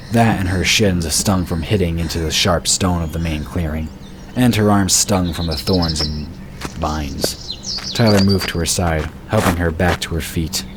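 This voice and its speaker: A man narrating a fantasy story in a steady, measured storytelling voice. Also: sits low, noisy background. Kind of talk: scripted audiobook narration.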